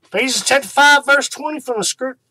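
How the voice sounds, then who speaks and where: A middle-aged man hosting an online ministry, talking informally and devotionally to camera in an unhurried way, with emphatic pauses and repeated key phrases. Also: very high voice, bright, forceful tone, no echo.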